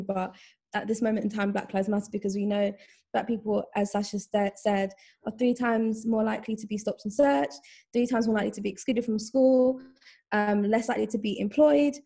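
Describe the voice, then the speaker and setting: A woman's voice: medium pitch, creaky, warm tone, breathy edge. A woman panelist on a video call speaking emphatically.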